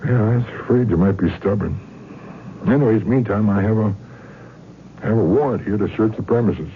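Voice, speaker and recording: A man's voice: on the low side, a little fast, natural voice. An actor playing a reluctant, dutiful small-town lawman in a radio drama, speaking in a folksy, hesitant manner. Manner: hesitant, folksy, drawling phrases. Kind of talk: old-time radio drama acting. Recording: muffled vintage radio with faint noise.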